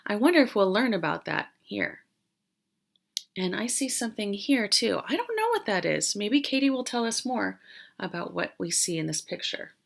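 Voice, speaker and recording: A woman teacher doing an interactive read-aloud for young children, explaining as she goes and pausing after questions. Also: mid-range voice, very animated, creaky. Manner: questioning, explanatory, with pauses for answers.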